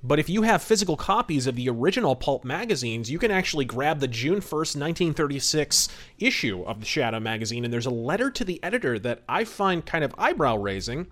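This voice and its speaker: A man's voice: on the high side, sing-song, fairly quick, fairly bright tone. A man hosting a podcast, giving informal history commentary in an articulate, wry and opinionated way. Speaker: podcast host.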